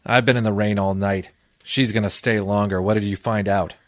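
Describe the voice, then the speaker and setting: Low male voice, actor as cynical private detective, deadpan wisecracks, weary, 1940s radio noir drama. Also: a little fast, dry recording, clean studio sound, natural voice. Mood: terse, determined. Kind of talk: 1940s noir radio detective acting with first-person narration.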